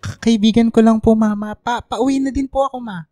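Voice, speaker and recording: Mellow effeminate Filipino teenage boy played by a woman. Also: on the high side, steady tone, fairly quick, no echo, lightly acted. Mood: nervous, stammering. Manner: gentle, reassuring, code-switching into English. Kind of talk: radio drama acting. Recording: dry studio with faint music.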